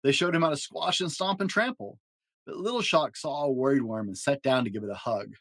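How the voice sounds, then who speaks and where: A man reading a children's picture book aloud as a storyteller, with an expressive, dramatic delivery. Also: mid-range voice, fairly quick, dry recording.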